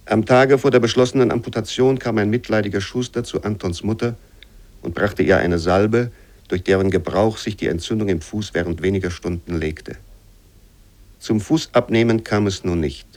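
Male actor as sober reader of a bleak memoir for radio; lowish pitch, measured literary recitation. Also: a little mellow, close to an everyday voice. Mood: sober, grave. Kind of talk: scripted literary reading for radio.

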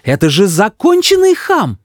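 A man doing an indignant, high-pitched young mother. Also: wildly swooping pitch, normal speed, dry recording, clean studio sound, heavily acted. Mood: outraged. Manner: exclaiming, accusing. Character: indignant, emotional young mother, quick to take offence.

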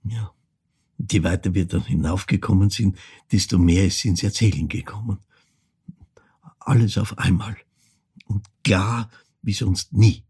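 Older Austrian man haunted by memories; low, badly frayed husky voice, halting theatrical storytelling. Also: steady tone, darkish tone, full character voice. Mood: haunted, reflective. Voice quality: husky, creaky. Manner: halting, brooding, shifting into whispers. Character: older man from Vorarlberg, haunted, remembering his troubled mother. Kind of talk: theatrical monologue, intimate storytelling.

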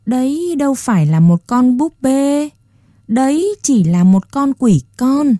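A woman's voice: sits high, very animated, warm tone, dry recording, studio quality, voice pushed up. Woman reading a drawling scholar doll. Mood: dismissive. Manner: unhurried, drawling. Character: languid young male scholar doll, dismissive.

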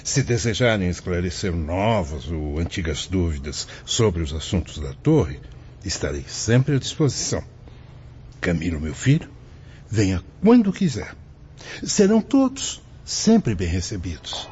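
A Brazilian actor playing a wise, fatherly priest spirit with a husky voice, reassuring in a radio drama. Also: sits low, sing-song, a little slow, a little mellow, slight background noise. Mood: calm, fatherly. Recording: dull lo-fi audio.

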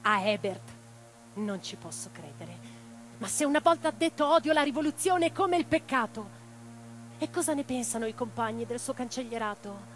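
A woman's voice: fairly high pitch, lively intonation, moderate pace, natural voice, low-quality recording. An actress as a lyrical, impassioned revolutionary woman over guitar. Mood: excited. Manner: lyrical, earnest, declamatory. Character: Rosa Luxemburg, impassioned, tender revolutionary woman writer. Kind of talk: theatrical radio drama monologue and dialogue. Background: plucked guitar music.

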